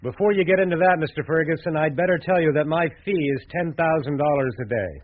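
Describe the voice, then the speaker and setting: A man acting a higher-voiced, shrewd American executive. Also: fast talker, natural voice. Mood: frank, firm. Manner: businesslike, courteous, frank. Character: shrewd, polite big-city advertising executive. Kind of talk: radio drama acting. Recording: thin, phone-like radio drama sound.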